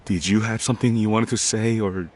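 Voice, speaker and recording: An actor playing a middle-aged father in a video game, gruff but softening and plainspoken, proud of his son. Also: lowish voice, fairly even intonation, fairly quick, a little hiss or hum, natural voice. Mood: tender, halting.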